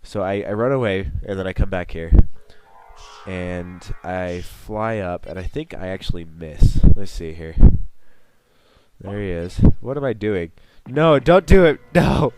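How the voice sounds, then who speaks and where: Young male let's-play host, bass-low voice, stuffy with a cold, rambling and wry. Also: a little slow, darkish tone, soft background music. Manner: rambling, self-mocking, chatty. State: sniffling from a cold. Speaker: gaming channel host. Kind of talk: let's play commentary over gameplay.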